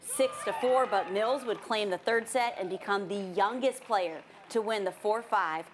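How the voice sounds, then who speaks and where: Female sports anchor, mid-pitched, broadcast recap delivery over faint background noise. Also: unhurried. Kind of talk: broadcast sports news reading.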